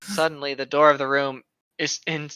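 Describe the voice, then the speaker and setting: A man's voice: a little high, level tone, normal speed, pushed and bright, clean tone, no room sound. A man co-hosting, reading a story aloud with casual, sweary commentary and stopping to correct himself. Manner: sweary, self-correcting reader. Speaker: co-host.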